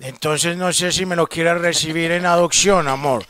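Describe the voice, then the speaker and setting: High-voiced Colombian man, very noisy recording. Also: fairly even intonation, moderate pace. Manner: smooth-talking, keeps using pet names. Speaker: prank caller, show host. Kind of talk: comedic prank phone call. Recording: very noisy phone-call recording.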